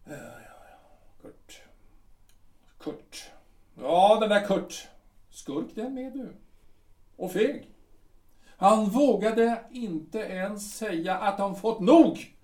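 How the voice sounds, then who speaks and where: A man acting out a sick, scornful captain in a radio drama, his high voice swooping widely as he stammers and repeats himself. He mutters some words almost under his breath. The room around him rings with echo. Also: expressive, long pauses, a little mellow. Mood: bitter. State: ill, short of strength. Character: bitter ailing middle-aged artillery captain husband. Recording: echoey room.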